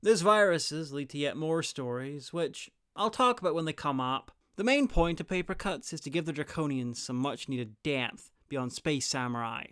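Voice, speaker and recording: A man's voice: on the high side, fairly bright tone, smooth, no room sound, studio quality. A man narrating a scripted review as host of a guide to audio stories.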